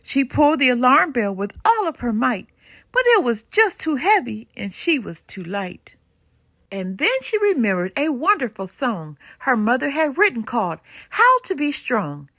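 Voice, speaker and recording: An older woman reading a children's storybook aloud in an expressive, sing-song way. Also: high-pitched, dry recording, studio quality. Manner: animated, unhurried sing-song rhyming delivery. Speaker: storybook reader.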